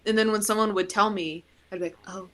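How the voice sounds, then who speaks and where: A woman's voice: mid-range voice, fairly even intonation, quick pace. A young woman chatting casually as a podcast guest, talkative, with frequent laughs and "like" fillers. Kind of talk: casual chat.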